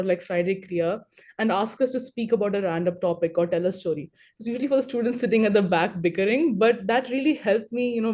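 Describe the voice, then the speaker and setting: A woman's voice: medium pitch, warm tone. A young woman from Hyderabad whose first language is Telugu, talking with chatty confidence as a panelist on a video call. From Hyderabad, India. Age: 20s. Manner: chatty and confident, rambling with fillers. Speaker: alumni panelist. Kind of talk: conversational talk on a video call.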